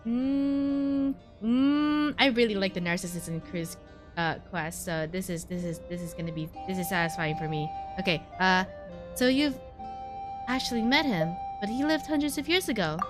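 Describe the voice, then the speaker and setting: A woman's voice: mid-range voice, normal speed. A woman game streamer doing live playthrough commentary, reading dialogue aloud and reacting to it. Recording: game music clearly behind the voice.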